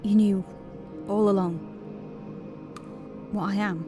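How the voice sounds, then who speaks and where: An actress playing an anxious woman in a video game, halting and emotionally raw. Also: medium pitch, steady tone, natural voice. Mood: hurt, questioning. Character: anxious woman discovering she is part of a machine. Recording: background music under voice.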